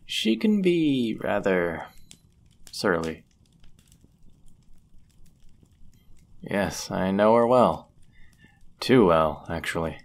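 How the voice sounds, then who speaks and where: A man acting a deep-voiced, courteous medieval innkeeper. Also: sing-song, creaky, full character voice. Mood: courteous, warm. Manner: gentle, polite, measured, formal wording. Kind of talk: intimate roleplay audio acting, formal old-fashioned speech.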